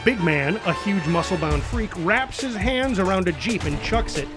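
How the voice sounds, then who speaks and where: Guy reading action script narration, dramatic, highish voice, music playing behind. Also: expressive, moderate pace. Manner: dramatic, spoken sound effects. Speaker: narrator reading stage directions.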